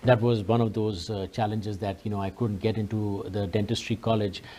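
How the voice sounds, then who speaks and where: A man's voice: lowish voice, little pitch movement, fairly quick, mellow, slight background noise. A middle-aged man from Pakistan with a Pakistani accent, speaking courteously as an interview guest and telling his own story conversationally.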